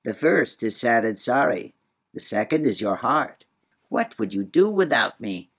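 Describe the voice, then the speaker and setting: Man putting on a whimsical, husky little old man. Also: mid-range voice, big pitch swings, a little slow, a bit bright, full character voice. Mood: boastful, whimsical. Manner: proud, sing-song, rhyming, muddled wordplay. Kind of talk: storybook character voice in audiobook reading.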